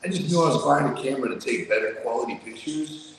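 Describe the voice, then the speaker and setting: A man with a low voice telling his story in a casual, streetwise, blunt way, swearing freely, as an interview guest. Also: quick pace, heavy reverb, in a large hall, a little hiss or hum, rough recording. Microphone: remote video call.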